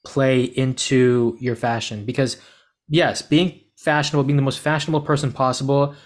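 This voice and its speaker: A man's voice: mid-range voice, level tone, normal speed. A man hosting an interview, asking long questions in a casual, rambling way and restarting himself as he goes. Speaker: podcast host.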